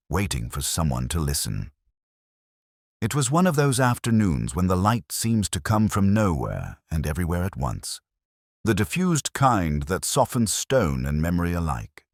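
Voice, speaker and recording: A man narrating a detective mystery in the first person as an ageing, contemplative detective, in a measured, literary, reflective manner. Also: deep voice, dry recording, studio quality. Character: ageing male detective, melancholy, contemplative, world-weary.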